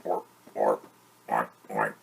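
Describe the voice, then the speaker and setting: A man voicing a muddy fat pig from a children's picture book with repeated low grunts. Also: little pitch movement, takes it slowly, gravelly, slight background noise, full character voice. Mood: grunting.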